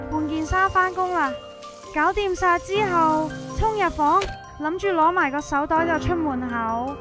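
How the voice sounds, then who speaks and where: High-pitched Hong Kong Cantonese woman storyteller. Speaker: narrator and main character of a horror story. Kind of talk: first-person scripted storytelling with acted dialogue.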